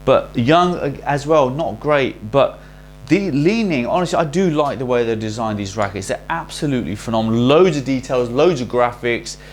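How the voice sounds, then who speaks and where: A man hosting a casual product review in a chatty, salesy way with quick asides, his voice a little worn from recording many videos. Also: medium pitch, animated. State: losing his voice. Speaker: racket reviewer and shop host. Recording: faint mains hum.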